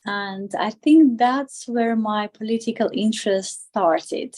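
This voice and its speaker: A woman, answering conversationally as an interview guest, haltingly, often repeating and rephrasing her words. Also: fairly high pitch, darkish tone. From Armenia. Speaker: interview guest, political candidate.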